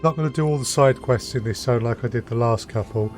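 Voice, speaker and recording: A man's voice: medium pitch, quick pace, darkish tone. British man streaming a game over music. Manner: thinking aloud in short remarks. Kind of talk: casual live gameplay commentary.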